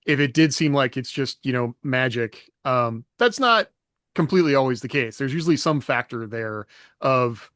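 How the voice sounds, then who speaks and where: A man co-hosting a podcast as an author, reasoning things through in a measured, casual way. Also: medium pitch, animated, a bit bright, dry recording, clean studio sound. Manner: thoughtful, measured, many "you know" fillers.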